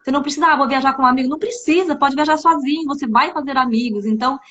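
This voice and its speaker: A woman's voice: high voice, racing, a bit bright, a little hiss or hum. A woman from Brazil hosting a casual live stream for an exchange agency, talking in an upbeat, chatty way and often checking in with "né?". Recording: slightly dull, lo-fi live-stream audio.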